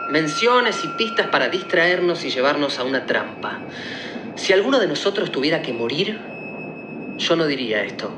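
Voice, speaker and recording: A man's voice: on the high side, very animated, moderate pace, roomy sound, noisy background. An actor playing an earnest young man in a radio play, reasoning out a mystery in an emphatic, explanatory way. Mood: urgent, reasoning. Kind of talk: theatrical radio play acting.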